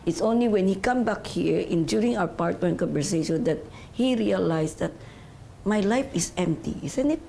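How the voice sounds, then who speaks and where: A woman's voice: lowish voice, lively intonation, moderate pace, creaky, dark tone, slight background noise. A middle-aged woman hosting a television interview, effusive, with loose grammar and frequent "you know". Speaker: talk show host.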